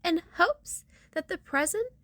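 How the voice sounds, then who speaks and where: A woman's voice: extremely high pitch, lively intonation, a little slow, fairly bright tone, no echo. A woman reading a rhyming children's picture book aloud with theatrical storyteller emphasis.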